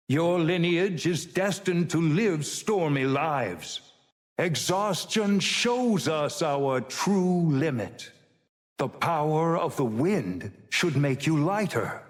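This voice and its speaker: An ancient, wise old male mentor from a video game. He is solemn and cryptic, measuring out each phrase slowly in a mid-pitched voice that rises and falls widely. A long hall reverb trails behind his grave delivery. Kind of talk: video game hero voice lines.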